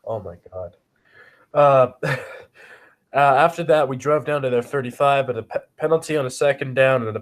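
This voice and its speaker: A man co-hosting a casual sports podcast while he has a cold and sniffles. He recites game stats bluntly and swears often. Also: medium pitch. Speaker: podcast co-host.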